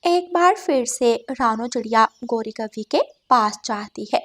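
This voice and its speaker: A woman's voice: a little high, expressive, unhurried, a bit bright. A woman reading scripted narration for a children's moral story as the storyteller.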